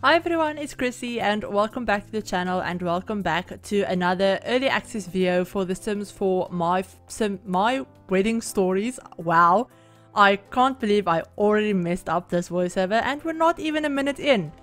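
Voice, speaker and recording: A woman's voice: mid-range voice, expressive, normal speed, faint music behind. Chatty Afrikaans-speaking woman, rambling voiceover. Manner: rambling, chatty, many "like" and "yeah" fillers. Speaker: Sims speed-build YouTuber. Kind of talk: casual game voiceover. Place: home, at night.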